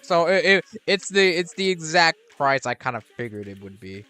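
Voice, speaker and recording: Young guy streaming games, bright crisp voice, rambly with lots of restarts. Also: fairly high pitch, big pitch swings, normal speed, soft background music. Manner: rambling, with frequent restarts and fillers. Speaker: game streamer. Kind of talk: casual gameplay commentary.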